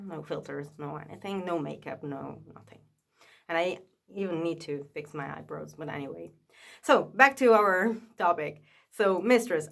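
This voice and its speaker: Woman, chatty and low. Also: a bit bright. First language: Italian. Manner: chatty, frequent fillers and restarts. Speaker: vlogger. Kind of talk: casual talk to camera. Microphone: camera held up high.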